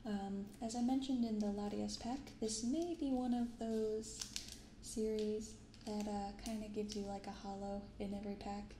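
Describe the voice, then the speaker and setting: A young adult woman speaking softly and unhurriedly in a hushed ASMR style as she hosts a casual show-and-tell. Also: mid-range voice, fairly even intonation. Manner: hushed, unhurried reading aloud and chatting. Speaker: YouTube card-opening host. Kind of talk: soft-spoken ASMR, casual commentary. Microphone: close binaural microphone. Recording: roomy sound with clear background noise.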